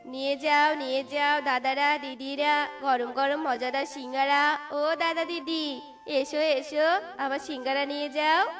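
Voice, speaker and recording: A woman's voice: sits high, fairly even intonation, a little slow, a bit bright, faint music behind. A woman voicing a humble, hardworking male bird who sells snacks. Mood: hawking. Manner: pleading, hawking cheerfully.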